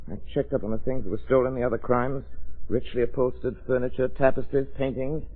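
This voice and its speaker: A man playing a grave, self-assured gentleman sleuth in old radio drama style, speaking in precise, emphatic explanations. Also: mid-range voice, fairly even intonation, brisk, lightly acted. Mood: grave, urgent. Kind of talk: 1930s-40s radio drama acting. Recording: tinny, band-limited vintage radio recording.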